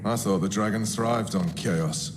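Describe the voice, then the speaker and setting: An actor playing a gruff, dryly laconic Templar fighter in a video game. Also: male voice, fairly low pitch, a little slow, in a large hall, noisy background, close to an everyday voice. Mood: droll. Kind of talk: scripted video game dialogue.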